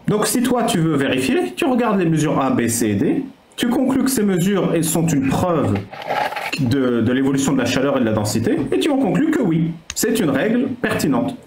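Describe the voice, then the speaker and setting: A man's voice: on the high side, sing-song, moderate pace. A man calling into a debate, explaining an argument step by step and restarting his sentences. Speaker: caller. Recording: roomy with faint noise.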